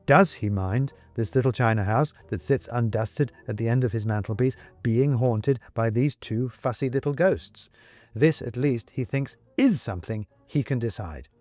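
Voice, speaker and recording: A man narrating a scripted story as an audiobook reader, with wry, deliberate, emphatic phrasing. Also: medium pitch, a little mellow, dry recording, soft background music.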